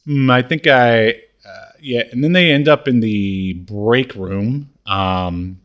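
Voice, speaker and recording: A man co-hosting a podcast, casually recapping a TV comedy in a halting way. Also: medium pitch, muffled recording. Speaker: podcast co-host.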